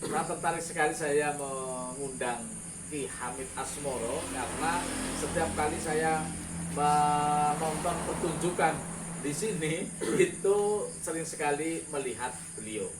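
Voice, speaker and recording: A man with a Banyumasan Javanese accent hosts a casual night-time interview, chatty and loose, often tacking on "ya". His voice sits a little high and comes from some way off the mic, in a roomy space with clear cricket noise behind. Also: unhurried. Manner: chatty, leans on filler "ya". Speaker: host. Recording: roomy, distant, noisy with crickets.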